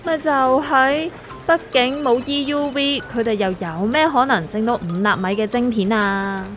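A woman's voice: on the high side, moderate pace, lightly acted. A woman with a Hong Kong Cantonese accent playing a sardonic know-it-all investment master in a scripted comic radio play. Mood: explaining. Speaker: voice actor in a radio drama. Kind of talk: scripted comedic dialogue. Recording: music under the voice.

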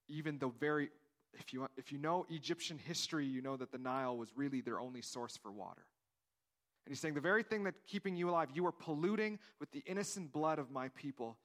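A man's voice: medium pitch, a bit bright. A man preaching a sermon in a conversational way, acting out the dialogue of the story and often repeating words as he goes. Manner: repeats words, restarts phrases. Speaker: pastor.

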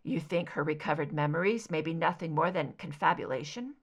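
Lady doing a guy investigator, pitched down, low-key, questioning, concerned, audiobook dialogue. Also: brisk. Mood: probing, dry. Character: Mulder, probing, concerned adult male investigator. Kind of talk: fan fiction audiobook dialogue.

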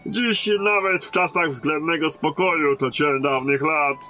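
An actor playing a weary lieutenant in command of a besieged garrison in a fantasy audio drama. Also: male voice, on the high side, steady tone, unhurried, bright, forceful tone, faint music behind. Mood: courteous, grave.

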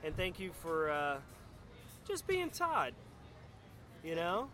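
A middle-aged man hosting a casual podcast chat, speaking effusively and haltingly, often repeating his words. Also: high voice, wildly swooping pitch, a bit bright, soft background music. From northern United States, grew up partly in the South. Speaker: podcast host. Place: outdoors at a pizza place. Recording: noisy outdoor spot with traffic.

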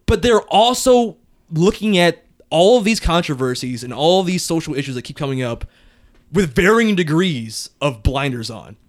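A man in a casual group chat, animated and laughing, swearing freely. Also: a little high, moderate pace.

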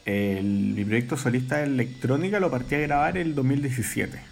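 Gravelly Chilean man, relaxed talk. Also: mid-range voice, moderate pace. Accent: Chilean Spanish. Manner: relaxed, rambling, many fillers. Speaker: musician guest. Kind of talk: casual interview chat.

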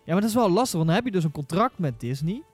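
A man's voice: high-pitched, very animated, racing, slightly rough, soft background music. A man with a Netherlands Dutch accent chatting informally as a panelist on a podcast. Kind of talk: casual chat, informal discussion.

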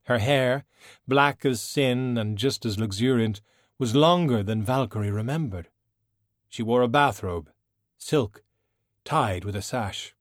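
A man narrating a novel in a measured, descriptive storytelling manner. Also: mid-range voice, no room sound, clean studio sound. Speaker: audiobook narrator. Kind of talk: scripted literary narration. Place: dry studio.

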